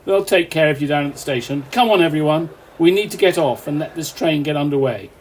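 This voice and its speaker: Bloke acting an off-duty cop in a radio play, earnest and authoritative, highish voice, bit of hiss. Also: male voice, a little fast, natural voice, poor audio. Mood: explanatory. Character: earnest, reasoning off-duty policeman.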